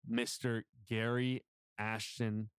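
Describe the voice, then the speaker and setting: A man hosting a podcast, upbeat, with quick interjections. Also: lowish voice, level tone, a bit bright, clean tone, dry recording, clean studio sound. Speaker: podcast host. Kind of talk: casual interview.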